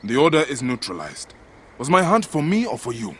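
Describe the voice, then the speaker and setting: A man's voice: mid-range voice, wildly swooping pitch, a little fast, a little hiss or hum. An actor playing a blunt, intense Egyptian warrior and grieving father in a cinematic game. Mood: resolute, frustrated.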